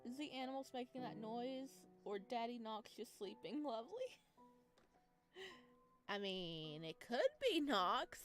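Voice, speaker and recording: Teasing woman commentator over game music. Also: mid-range voice. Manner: teasing, talks back to the characters. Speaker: let's-play commentator reading a visual novel. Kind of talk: playful reactive game commentary mixed with read-aloud narration. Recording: music behind the voice.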